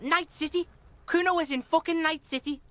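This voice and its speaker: A defiant young street punk from a video game. The voice is high and piercingly bright, with a swaggering sneer and a hostile, cocky edge. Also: female voice, moderate pace, slight background noise. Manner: swaggering, sneering, speaks of self in third person. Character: cocky foul-mouthed delinquent street boy, defiant, hostile. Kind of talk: video game character acting.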